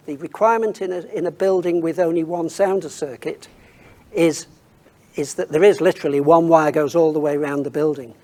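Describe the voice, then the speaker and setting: A man's voice: fairly high pitch, a little mellow. A man speaking as an industry expert on a panel, explaining technical points informally and thinking aloud as he goes.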